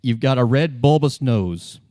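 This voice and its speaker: A man with a mid-pitched voice acts as game master. He narrates a tabletop role-play in a conversational style, recorded close and dry. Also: animated, measured pace, darkish tone, dry recording.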